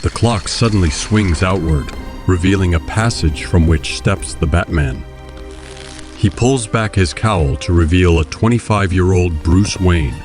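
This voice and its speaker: A man's voice: low voice, measured pace. A man reading scripted narration as the narrator of an audio drama. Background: music.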